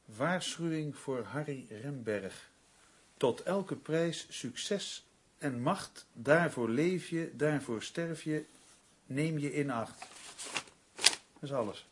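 A man's voice: medium pitch, slight background noise. An actor playing a brooding, put-upon male writer in a Dutch radio play, in narration and dialogue. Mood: puzzled.

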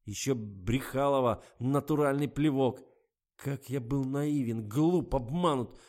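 A man voicing a furious, contemptuous young lover who shouts and sneers, in an audiobook reading. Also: medium pitch, measured pace, pitched above the performer's own voice, natural voice.

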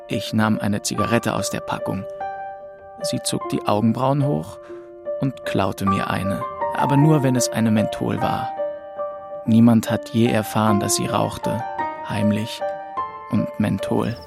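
Radio drama lead: grieving young narrator, introspective and detached, low with a gravelly edge. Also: male voice, fairly even intonation, a little slow. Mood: reflective, subdued. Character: grieving orphaned young man of twenty-two, aimless and withdrawn. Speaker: first-person narrator. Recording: music behind the voice.

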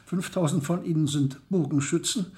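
An actor playing a military expert calmly explaining a campaign in a radio drama. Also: male voice, a little high, moderate pace, natural voice. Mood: explanatory.

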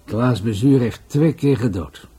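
An actor playing a measured, pensive police detective in a radio drama, turning phrases over as he questions. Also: medium pitch, warm tone, a little hiss or hum, close to an everyday voice. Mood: summing up. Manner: ruminative, repeats phrases slowly.

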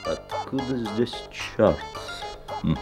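A man's voice: fairly low pitch, lively intonation, drawn-out words, loud music behind the voice, full character voice. An actor playing a sly magic-shop salesman with courteous, insinuating, theatrical patter in an audio fairy tale. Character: smooth, sly magic-shop salesman, mocking and eerily obliging. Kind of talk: Soviet-era audio fairy-tale acting.

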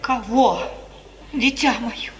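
A woman's voice: fairly high pitch, takes it slowly. An actress playing a middle-aged, worldly mother who counsels her daughter with emphatic, persuasive, theatrical delivery in a 1950s radio tragedy. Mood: urgent, indignant. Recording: old hissy radio recording, slightly distant.

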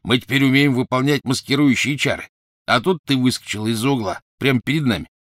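A man voicing a dim-witted, hulking teenage henchman who grunts and talks slowly and smugly. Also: medium pitch, no echo, studio quality, pitched above the performer's own voice, lightly acted. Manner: grunting, slow, smug. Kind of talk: audiobook character voice.

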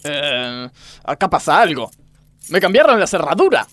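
Exasperated boss played by a man, high animated voice, incredulous, comedy sketch with faint noise. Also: moderate pace. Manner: incredulous, scolding. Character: exasperated, suspicious male factory boss. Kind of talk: comedy radio sketch acting.

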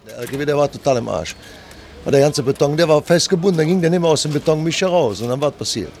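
A man's voice: fairly high pitch, lively intonation, fast. A man working as a market vendor, chatting casually and colloquially outdoors. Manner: colloquial, earthy wording. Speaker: market egg seller. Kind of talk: casual chat between customers. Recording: outdoor street noise, traffic behind.

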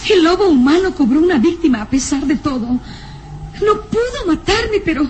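An actress playing a fragile, frightened young woman in a melodramatic radio serial, halting and anxious but insistent. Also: high voice, animated, darkish tone. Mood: tearful dread. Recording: noisy vintage radio drama recording.

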